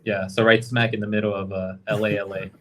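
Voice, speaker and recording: A man's voice: lowish voice, flat delivery, quick pace, a bit bright. A man from Los Angeles, a guest game creator, talking in an easygoing, reflective way and often adding "right?" and "you know". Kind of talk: casual podcast chat.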